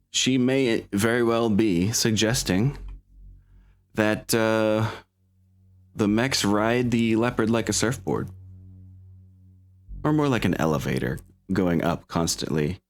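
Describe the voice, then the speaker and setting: Deep-voiced man running a tabletop game. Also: expressive. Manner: halting, thinking aloud. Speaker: game master. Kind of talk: casual tabletop roleplay narration and chat.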